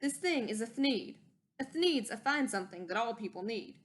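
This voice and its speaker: A woman's voice: a little high, animated, normal speed, natural voice. A woman voicing an old male inventor in a storybook, eager, emphatic and self-justifying. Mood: defensive, boastful.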